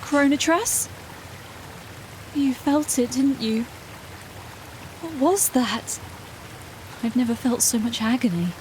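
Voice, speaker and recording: Lady acting an anguished celestial sister, high pitched, rainy noise behind. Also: lively intonation, slow, pauses often. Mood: anguished, alarmed. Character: anguished, anxious heavenly sister. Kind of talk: fantasy audio drama acting.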